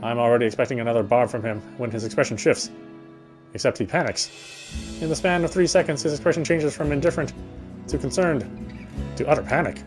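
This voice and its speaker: A man's voice: medium pitch, quick pace, pauses often, music in the background. A man reading first-person visual novel text aloud as narration, stumbling now and then over words.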